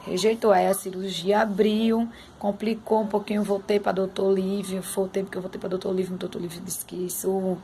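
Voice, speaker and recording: A young woman vlogging about her son's time in hospital, slightly hoarse, talking to her viewers in a heartfelt, chatty way. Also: mid-range voice, little pitch movement, fairly quick, hardly any pauses, warm tone, a little hiss or hum. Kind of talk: emotional personal vlog.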